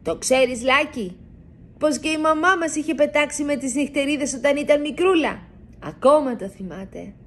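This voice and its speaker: A woman voicing a timid little boy mouse, shy and quiet, sometimes whispering. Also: high voice, voice pushed up. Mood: soft.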